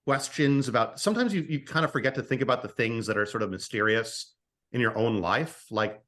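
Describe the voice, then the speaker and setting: Mid-pitched man, halting and informal. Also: fairly bright tone. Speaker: writer and podcast guest. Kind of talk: casual interview chat.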